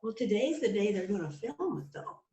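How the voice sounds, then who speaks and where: A woman's voice: mid-range voice, sing-song, moderate pace, dark tone. A woman making casual side chat at a group rehearsal, distant in an echoey room with others talking.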